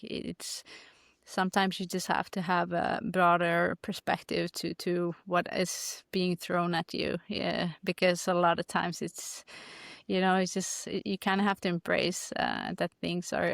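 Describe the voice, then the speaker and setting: A woman's voice: on the low side, gravelly, dry recording, studio quality. A woman from Denmark, a hockey player as a guest, answering interview questions thoughtfully with many restarts.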